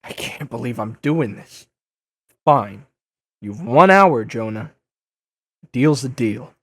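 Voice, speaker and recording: An actor playing a young masked superhero in an audio drama, sarcastic, flustered and easily exasperated. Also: male voice, mid-range voice, expressive, slow pace, a bit bright, no echo, studio quality, exaggerated voice. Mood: reluctant, defiant. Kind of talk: audio drama dub acting.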